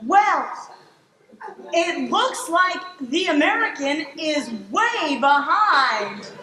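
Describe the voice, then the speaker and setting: A woman's voice: sits high, very animated, drawn-out words, sharp tone, heavily acted. A woman playing a chatty, mock-earnest sports co-commentator in improvised comedy. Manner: mock-serious, conversational announcing. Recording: distant, echoey live stage with audience.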